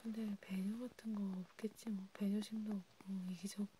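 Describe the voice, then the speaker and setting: A woman whispering in a breathy, hushed, intimate way, chatting casually as in an ASMR roleplay. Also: mid-range voice, brisk. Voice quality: breathy, mostly unvoiced whisper. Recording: steady background noise.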